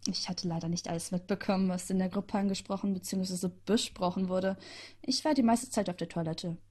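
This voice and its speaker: A woman's voice: fairly low pitch, a little fast, a little mellow. A woman narrating a first-person story aloud with chatty, sarcastic asides.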